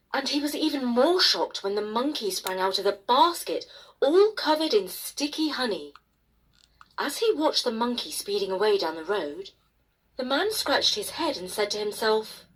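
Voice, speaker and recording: A woman storyteller narrating a bedtime tale expressively, with dramatic pauses and emphasis. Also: medium pitch, a bit bright, slightly rough, poor audio. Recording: faint noise behind the voice.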